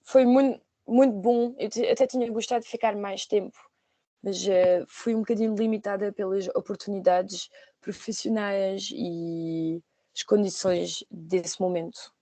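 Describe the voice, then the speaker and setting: Mellow young woman, hesitant podcast guest. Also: medium pitch, steady tone, a little slow, no echo. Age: 20s. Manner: hesitant, searching for words with repetitions. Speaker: podcast guest, former trainee. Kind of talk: conversational interview answers.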